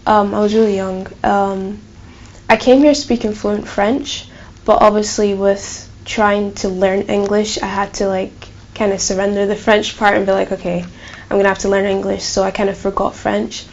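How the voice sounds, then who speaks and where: Young woman, light glaswegian lilt, gravelly. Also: mid-range voice, flat delivery, moderate pace, highs cut off, a little hiss or hum. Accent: light Glaswegian Scottish. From Burundi. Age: 20s. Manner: chatty, many fillers like um and like. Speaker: student interviewee. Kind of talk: casual interview answers.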